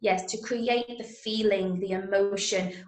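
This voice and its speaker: A woman's voice: medium pitch, steady tone, a little slow, a little mellow. A woman from Manchester with an English accent, teaching an interactive drama workshop online in an encouraging manner. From Manchester, England. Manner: encouraging, praising students' answers. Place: indoors, on a video call. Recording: roomy, echoey sound.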